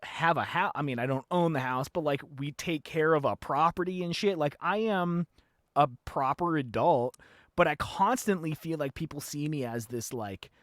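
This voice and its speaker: A man's voice: a little high, normal speed, a bit bright, no echo, studio quality. A man in his thirties hosting a podcast, chatting casually, laughing often and swearing freely. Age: 30s. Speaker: podcast host. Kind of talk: casual chat.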